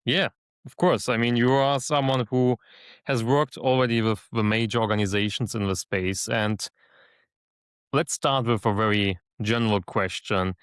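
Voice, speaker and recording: A man hosting a podcast interview, measured and listening, with frequent fillers. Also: mid-range voice, clean tone, dry recording, studio quality. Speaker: podcast host.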